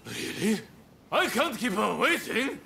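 A man's voice: high voice, huge pitch swings, a little slow, gravelly, noisy background, exaggerated voice. A man playing a tipsy, boastful samurai flirt in a video game comic scene. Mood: drunken bragging.

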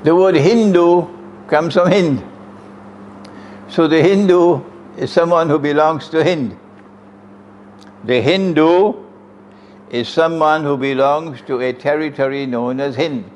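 A man's voice: on the high side, takes it slowly, long pauses, a little mellow, slight background noise. An older man from Trinidad with a Trinidadian accent, giving an emphatic religious lecture on a stand mic, with rhetorical questions to his audience. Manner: emphatic, rhetorical questions, sweeping hand gestures. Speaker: Islamic lecturer. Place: room with green chairs, indoors. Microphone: stand mic close in front.

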